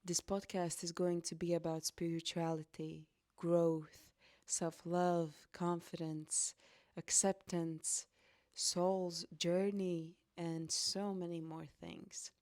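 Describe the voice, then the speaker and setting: A young woman from Latvia speaks slowly and earnestly as she hosts her own podcast, telling her life story. Her voice sits a little low and sounds dry and close, with no room around it. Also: flat delivery, darkish tone, dry recording. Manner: slow, reflective and earnest. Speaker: podcast host. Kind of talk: personal storytelling monologue.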